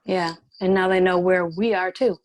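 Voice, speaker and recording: Woman playing a tough, low-voiced bounty cop. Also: fairly even intonation, normal speed, lightly acted. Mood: worried resolve. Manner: firm, curt, sarcastic. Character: tough, defiant female bounty cop, protective, irritable. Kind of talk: screenplay table read.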